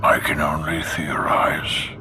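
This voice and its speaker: Cryptic wise ally, bottomless breathy voice, solemn, measured, video game drama, music behind. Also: male voice, rumbling low, completely flat delivery. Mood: grave counsel. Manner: slow, measured, grave. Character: solemn, cryptic male ally giving grave counsel.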